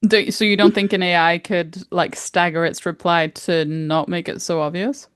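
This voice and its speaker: A woman co-hosting a casual podcast, speaking a little lower than most women, recorded dry and close. She presses her co-host with repeated questions and hesitates as she thinks aloud. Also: fairly even intonation, moderate pace, fairly bright tone, clear, resonant tone, no room sound. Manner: probing, keeps pressing questions. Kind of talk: casual chat.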